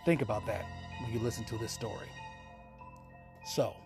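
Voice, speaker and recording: A man's voice: on the low side, normal speed, vocal fry. A man hosting a radio play show, giving a conversational introduction. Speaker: podcast host. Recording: muffled voice over prominent music.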